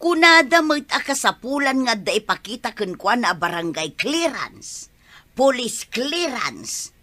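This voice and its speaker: A woman's voice: a little high, sing-song, unhurried, sharp tone, heavily acted. A woman with an Ilocano accent acting an exasperated, scolding village woman in a comedy drama. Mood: matter-of-fact, listing.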